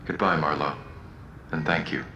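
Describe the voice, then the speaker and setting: An actor playing a manipulative, sardonic condemned killer in an audio drama. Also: male voice, a bass voice, steady tone, normal speed, sharp tone, reverberant, lo-fi sound, slight background noise. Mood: remorseful, subdued. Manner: smug, sardonic. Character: manipulative male condemned killer pleading then gloating.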